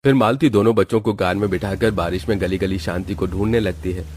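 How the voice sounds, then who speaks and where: A man's voice: sits low, quick pace, a little mellow. A man narrating a scripted moral cartoon story as its storyteller. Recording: music bed behind the voice.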